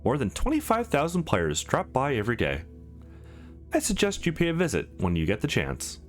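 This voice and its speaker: An upbeat, courteous woman receptionist from a sci-fi visual novel, read by a man. She delivers formal sales patter with very lively inflection. Soft music plays underneath. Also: medium pitch, normal speed. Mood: informative. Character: courteous, upbeat female company receptionist in space. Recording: music behind the voice.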